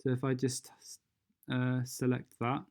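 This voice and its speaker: An unhurried tutorial presenter with a soft, mellow tone gives an unscripted software walkthrough. The delivery is fairly flat in pitch and hesitant, as the steps are worked out aloud. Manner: hesitant, thinking aloud while demonstrating.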